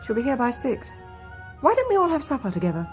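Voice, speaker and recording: An affectionate, educated wife and mother in a drama. She is brisk and coaxing, with lively pitch and faint music behind. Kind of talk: British political drama acting.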